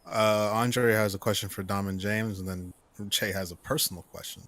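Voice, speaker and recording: A man's voice: fairly low pitch, steady tone, normal speed, fairly bright tone. A man in his mid-twenties reading out questions on a gaming livestream, with casual, crude, teasing banter.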